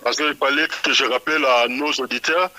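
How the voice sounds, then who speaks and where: A man from Kinshasa speaks with a very bright, forceful tone. He talks through a radio interview about his music, often halting and repeating short words before he finds the next phrase. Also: medium pitch, steady tone, low-quality recording. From Kinshasa, DR Congo. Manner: stumbles and repeats small words while thinking. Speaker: musician guest.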